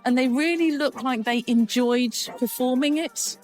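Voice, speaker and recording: A woman hosting a podcast in casual chat, croaky from a cold, chatty and teasing. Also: high-pitched, unhurried, darkish tone, soft background music. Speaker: podcast host.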